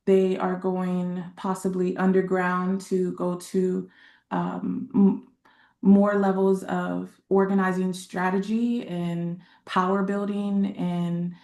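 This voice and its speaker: A woman from Texas, a community organizer speaking on a webinar panel in a halting way, with many fillers and pauses. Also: on the low side, flat delivery, a little mellow, reverberant. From San Antonio, Texas.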